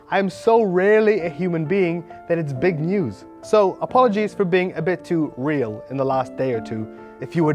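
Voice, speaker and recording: A man's voice: a little high, close to an everyday voice. A man playing an aggrieved, pompous internet provocateur in a mock dramatic reading of rants, over music. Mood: defiant self-pity. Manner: melodramatic, pompous. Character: aggrieved, self-pitying male internet provocateur ranting at fans. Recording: music behind the voice.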